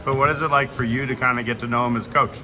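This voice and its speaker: Fast-talking male sports interviewer. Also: medium pitch, steady tone, noisy background. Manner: brisk, direct questioning.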